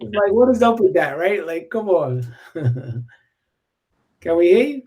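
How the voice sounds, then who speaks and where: High-pitched male host on a video call, casual and upbeat. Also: sing-song, slow pace, warm tone. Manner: upbeat, joking while fixing tech trouble. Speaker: host of an online community show.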